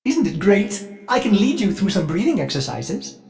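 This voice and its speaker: A man's voice: high voice, very animated, normal speed, creaky, darkish tone, heavily acted. A man voicing a fussy, servile ship computer AI in a video game, speaking in a chirpy, formal and theatrical way with an undercurrent of violent glitches. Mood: frantic, apologetic. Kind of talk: video game character voice lines.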